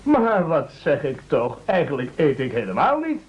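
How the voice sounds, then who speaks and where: An actor playing a self-righteous, falsely modest would-be cook in a satirical radio play, with pompous, declamatory delivery. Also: male voice, fairly high pitch, wildly swooping pitch, moderate pace, breathy edge, heavily acted. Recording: dull old radio recording.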